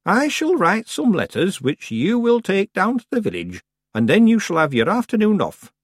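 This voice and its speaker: A man voicing a very old, calm and stern witch woman with a dry, matter-of-fact manner. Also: sits high, moderate pace, breathy edge, no echo, pitched above the performer's own voice, heavily acted.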